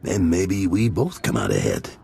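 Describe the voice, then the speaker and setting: A man's voice: deep voice, huge pitch swings, normal speed, vocal fry, warm tone, heavily acted. A man playing a weary, worldly middle-aged gangster in a video game, talking bluntly and informally. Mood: confiding, businesslike. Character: gruff, weary crime boss's lieutenant, worldly father.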